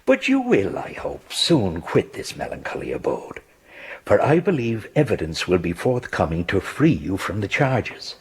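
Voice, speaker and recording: An actor as a very husky, courteous magistrate. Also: male voice, fairly low pitch, huge pitch swings, full character voice. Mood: sympathetic. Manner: formal, deliberate, articulate phrasing. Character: courteous, reasonable male magistrate, formal and sympathetic. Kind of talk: radio drama acting.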